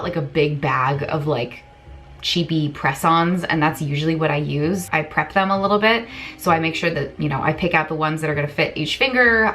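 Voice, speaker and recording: A woman's voice: fairly low pitch, a bit bright. A woman in her thirties chatting casually at home as a craft vlogger, joking and self-deprecating as she explains her work. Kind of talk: casual tutorial chat. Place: home room, indoors.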